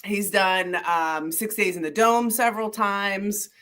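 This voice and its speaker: A woman's voice: medium pitch, a little slow, fairly bright tone. A middle-aged woman with a head cold, sounding congested as she co-hosts a running podcast in easygoing, good-humored banter. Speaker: podcast co-host. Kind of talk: casual chat.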